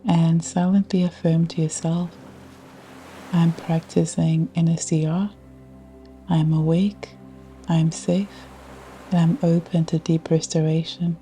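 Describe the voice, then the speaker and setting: A woman guiding a scripted yoga nidra meditation in a soothing, measured way with long pauses. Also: lowish voice, mellow. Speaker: meditation guide. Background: ambient music with ocean sounds.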